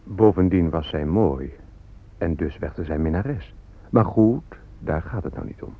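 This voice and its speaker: An actor playing a courtly, plain-spoken Spanish soldier who chronicles events in a radio drama. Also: male voice, deep voice, expressive, unhurried. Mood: wry. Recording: muffled phone-like old radio play.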